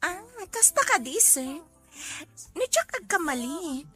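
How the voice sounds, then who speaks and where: A scheming young woman played by an actress pushes her point in an audio soap drama. Her voice is high and bright, very expressive, with soft music underneath. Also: slow pace, exaggerated voice. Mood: insistent. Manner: insistent, expressive. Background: background music.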